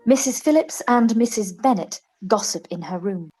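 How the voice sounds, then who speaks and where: A woman's voice: a little high, expressive, normal speed, warm tone, faint music behind, rough recording. A woman reading scripted stage directions as the narrator of a radio play.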